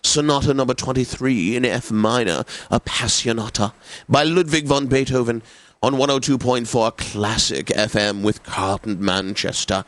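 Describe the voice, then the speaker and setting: A man's voice: mid-range voice, moderate pace, fairly bright tone, no echo, natural voice. An actor playing a pompous, suave and lecherous male classical radio DJ in a comedic video game, announcing with theatrical relish. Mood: suave, formal. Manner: pompous, theatrical, savouring words.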